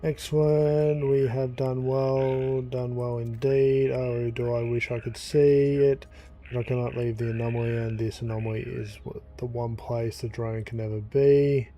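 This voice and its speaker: A man's voice: medium pitch, level tone, a little slow, highs cut off, close to an everyday voice. A man reading out the lines of an eager alien researcher from a space game, in a plain voice with mild eager emphasis. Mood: pleased.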